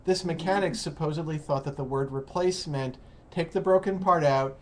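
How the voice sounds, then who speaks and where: A man with an American accent hosting a casual interview, explaining in a halting way and rephrasing as he goes. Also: fairly high pitch. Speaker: host of an English-learning call-in program. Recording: noticeable background noise.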